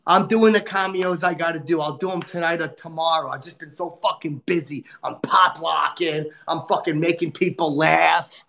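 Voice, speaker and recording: A man playing a crude, boastful, short-tempered male comic, cursing and griping as he hosts a livestream in a nasal, gravelly put-on voice. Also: on the high side, fairly even intonation, moderate pace, hardly any pauses, pushed and bright. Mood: crude ranting. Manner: profane, insulting, exasperated rants. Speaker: livestream host. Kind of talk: livestream comedy character rant.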